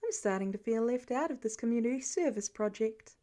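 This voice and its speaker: A woman's voice: fairly high pitch, lively intonation, fairly quick, dark tone, natural voice. A woman voicing an encouraging, affectionate wife and mother in an audiobook story, eager and supportive.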